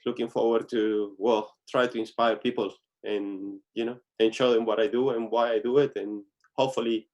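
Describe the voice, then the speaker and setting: A man's voice: on the low side, level tone, normal speed, a little mellow, low-quality recording. A man with a Venezuelan Spanish accent, a native Spanish speaker, answering interview questions earnestly. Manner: earnest, with frequent "you know" fillers. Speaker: guest.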